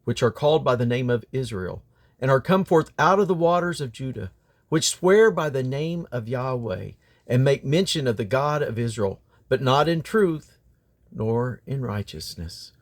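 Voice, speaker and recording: A man reading the Bible aloud in a solemn, declamatory way, with deliberate phrasing and emphatic stresses. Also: medium pitch, lively intonation. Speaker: scripture reader.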